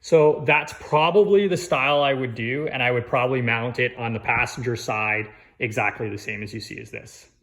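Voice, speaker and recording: A man with an average-pitched voice talks through a hands-on installation for a vlog. His delivery is casual and informal, like showing someone around a project, with conversational asides along the way. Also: normal speed, hardly any pauses, fairly bright tone. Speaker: vlogger giving a DIY install walkthrough.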